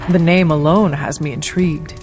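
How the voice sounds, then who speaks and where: A man's voice: on the high side, fairly even intonation, moderate pace, lightly acted. A man playing a reserved, resurrected demon slayer in a video game, speaking in formal, stiff phrasing with music behind. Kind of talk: video game cutscene acting. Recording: background music under the voice.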